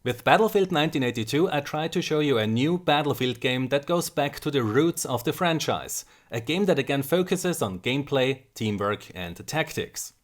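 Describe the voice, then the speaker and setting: A man's voice: medium pitch, animated. A man hosting a gaming channel, giving scripted, methodical commentary on game design. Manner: explanatory, measured and methodical. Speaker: gaming video host.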